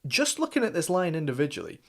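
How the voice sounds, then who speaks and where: A man's voice: fairly high pitch, wildly swooping pitch, fast, creaky, a bit bright. A young man with an English accent hosting a reaction video, thinking aloud in casual commentary with frequent restarts and fillers. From England. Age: young adult.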